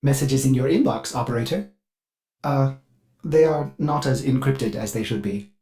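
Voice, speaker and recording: An actor playing a fussy, eager-to-please artificial mind of a spaceship in a video game, with an overly polite, theatrical and halting delivery. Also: male voice, medium pitch, over music, natural voice. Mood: helpful, chipper. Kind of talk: video game character dialogue.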